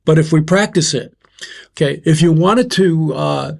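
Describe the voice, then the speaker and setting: Older man, host, loud, instructive, fairly high voice. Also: unhurried, creaky. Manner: loud, instructive, counts points off. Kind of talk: discussion facilitator.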